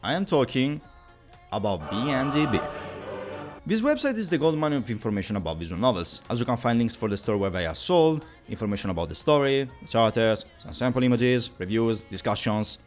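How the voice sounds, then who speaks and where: Very lively man narrating over music. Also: medium pitch, fairly quick. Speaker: YouTube video essayist and presenter. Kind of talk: scripted explainer narration.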